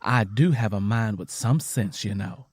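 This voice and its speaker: A man's voice: fairly low pitch, measured pace, darkish tone, slightly rough, no room sound. A man voicing a wary, teasing Southern country wife in her twenties, with blunt, folksy dialect, in an audio story. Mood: teasing, suspicious.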